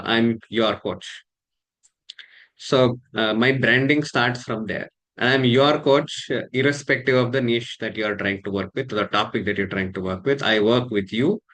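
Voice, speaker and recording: Indian English male coach, mid pitch, thoughtful, halting delivery with fillers. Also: a bit bright. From Karnataka, India. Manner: measured, halting, many fillers and restarts. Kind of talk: casual talk to camera.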